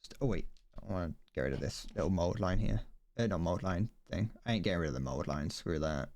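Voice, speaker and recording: A man's voice: sits low, expressive, fairly quick, a little mellow, dry recording. A young man streaming as he builds a model kit, chatting casually, rambling, correcting himself and stumbling over his words.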